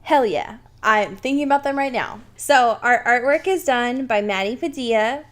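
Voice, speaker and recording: A young woman co-hosts a comedic podcast with a fairly high voice and animated intonation. Her talk is loose, slangy and irreverent, peppered with casual swearing. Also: normal speed. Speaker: podcast co-host.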